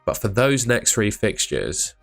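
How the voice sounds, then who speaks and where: A man, hosting a fantasy football channel, talking casually to camera, his voice croaky from shouting, chatty and thinking aloud with asides. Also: lowish voice, very animated, measured pace, faint music behind.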